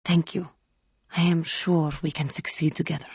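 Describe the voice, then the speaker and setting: A woman playing a wounded, earnest female alien Jedi in a video game, speaking in formal, measured phrases. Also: low voice, little pitch movement, a little mellow, breathy edge, no room sound, clean studio sound, heavily acted. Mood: earnest, hopeful. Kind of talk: scripted video game character dialogue.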